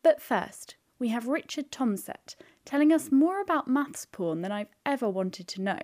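A woman hosting a podcast, giving a brisk, announcing introduction to the show. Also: sits high, expressive, a little mellow. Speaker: podcast host.

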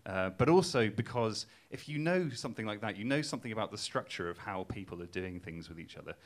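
A young man from Newcastle with a Geordie accent, performing a stand-up comedy set with a casual, self-mocking, hesitant delivery full of ums. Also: on the low side. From England. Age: young adult. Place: live stage before laughing audience.

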